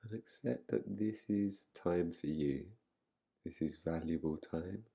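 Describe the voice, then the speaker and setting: A man guiding a relaxation meditation in an unhurried manner, leaving long pauses between phrases. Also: low-pitched, vocal fry, warm tone, slightly rough, highs cut off. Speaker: meditation guide.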